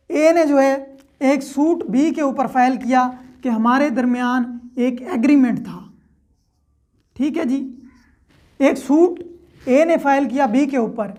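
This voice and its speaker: A woman's voice: sits high, a little mellow. A woman giving an explanatory lecture, step by step, repeating points and checking that listeners follow. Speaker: law lecturer.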